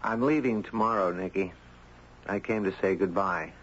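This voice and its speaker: An actor playing a gentle, earnest man who narrates and talks tenderly in a 1970s radio drama. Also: deep voice, normal speed. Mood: subdued. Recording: dull, noisy 1970s radio broadcast.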